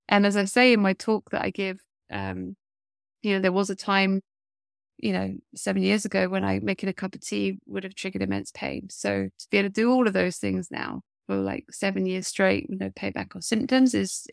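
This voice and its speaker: A woman's voice: mid-range voice, vocal fry, dry recording, clean studio sound. A woman in her forties, a coach speaking as an interview guest, talking earnestly with a blocked-up nose and many fillers. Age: 40s. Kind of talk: casual interview chat.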